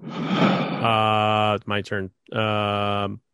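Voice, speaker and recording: A man's voice: on the low side, monotone, very slow, a bit bright, clear, resonant tone, no echo. A stuffed-up man hosting a casual sports podcast, rambling with lots of fillers. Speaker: podcast host.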